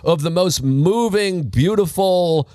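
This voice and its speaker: A young man co-hosting a casual movie podcast, teasing his partner with deadpan jokes. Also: high-pitched, slow, clean tone, dry recording, studio quality. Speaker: podcast co-host.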